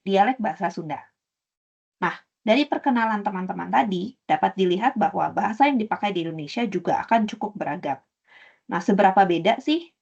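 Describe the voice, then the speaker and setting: Breathy woman presenting to class. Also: medium pitch, a little mellow, muffled recording. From Bogor, West Java. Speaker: student presenter.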